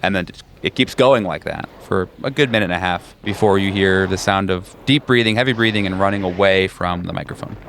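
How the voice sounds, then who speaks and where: A man running a tabletop horror game, narrating scenes in a deliberate, descriptive way. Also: low voice, a bit bright, a little hiss or hum. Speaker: game master.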